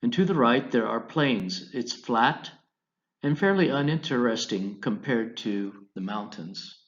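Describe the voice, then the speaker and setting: A man's voice: medium pitch, expressive, a little slow. American man lecturing, slightly dull recording. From United States, Colorado. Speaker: teacher of an online business class. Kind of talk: scripted lecture over slides.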